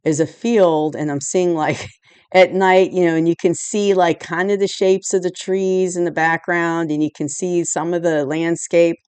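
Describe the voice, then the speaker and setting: A hoarse woman recovering from a cold, giving a rambling, casual tarot reading indoors at home, full of "you know" and "like". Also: on the low side, steady tone, a little slow, a little mellow, no room sound. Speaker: tarot reader. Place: indoors at home, dim room lit by a candle and lamp.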